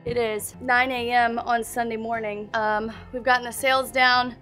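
A woman in her thirties talks straight to a handheld camera in a boat cabin, her voice set a touch high. She sounds anxious and hurried. A faint music bed plays beneath her. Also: flat delivery, fairly bright tone. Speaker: sailing vlog host. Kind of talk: casual vlog narration. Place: cabin of a sailing catamaran. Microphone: handheld camera at arm's length.

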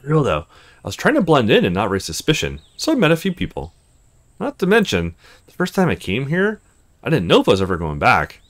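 Guy playing a chill young dude in a visual novel, casual n practical, pitch all over. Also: huge pitch swings, fairly quick, dry recording. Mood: explaining. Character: laid-back young man, teasing and practical. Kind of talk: visual novel character reading.

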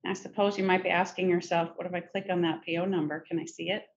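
A middle-aged woman presenting a software demo in a conversational, informal step-by-step tutorial style. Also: lowish voice, fairly even intonation, fast, vocal fry. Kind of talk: conversational screen-share tutorial. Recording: muffled, noisy screen-recording audio.

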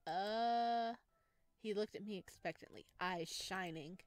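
A woman streaming a visual novel, reading the story aloud and breaking in with excited, gushing asides about cute things. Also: medium pitch, takes it slowly, dry recording, faint music behind. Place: close indoor mic, voice over game footage.

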